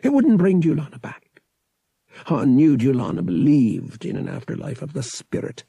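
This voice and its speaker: A man with a husky, raspy voice reading an audiobook as dramatic scripted narration. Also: fairly high pitch, wildly swooping pitch, moderate pace, warm tone, no echo.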